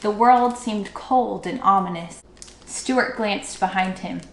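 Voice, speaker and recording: A woman reading a children's book aloud expressively, with dramatic, drawn-out emphasis. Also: mid-range voice, slight background noise. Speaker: storybook read-aloud teacher. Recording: echoey room.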